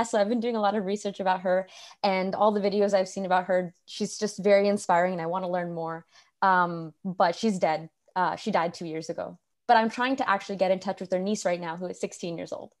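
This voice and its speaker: Young woman host, relaxed and friendly, filler-heavy casual talk. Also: mid-range voice. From India. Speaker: podcast host. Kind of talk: casual conversation.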